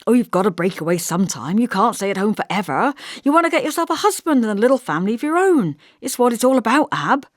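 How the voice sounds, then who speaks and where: Woman acting a blunt, bossy young brother, animated. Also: a little high, fast talker, hardly any pauses, no room sound, studio quality, voice pushed up. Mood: lecturing. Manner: brusque, insistent, colloquial regional turns of phrase. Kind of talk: audiobook character dialogue.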